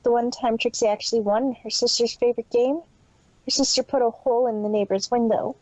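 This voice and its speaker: A woman's voice: fairly high pitch, fairly even intonation, brisk, a little mellow, no echo, natural voice. A woman voicing a timid, nervous young unicorn filly who speaks of herself in the third person, in an amateur fan story reading. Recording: dull lo-fi home recording.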